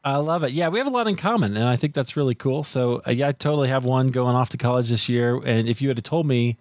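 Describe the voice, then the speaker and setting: A man from the United States hosting a podcast, talking in a relaxed, chatty way with many fillers. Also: medium pitch, fast talker, no room sound, studio quality. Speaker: podcast host. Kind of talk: casual interview chat.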